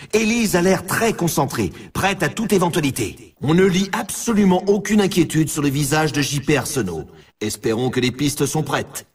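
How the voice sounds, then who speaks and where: A man playing an excited, upbeat snowboard race announcer in a video game, with showman commentary and a husky voice. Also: on the high side, far from the mic.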